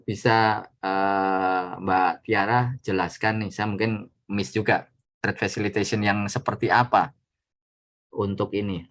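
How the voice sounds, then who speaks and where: A man, a guest lecturer answering questions informally in a hesitant, explanatory way with softening tags. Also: lowish voice. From Indonesia. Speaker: trade attaché, guest lecturer.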